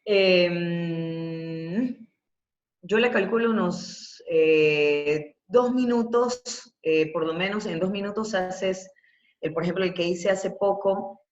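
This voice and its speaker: Echoey, muffled low-voiced Ecuadorian woman teacher. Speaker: university professor and voice coach. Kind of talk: teaching breathing and vocal exercises in a webinar. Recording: muffled, echoey webinar audio.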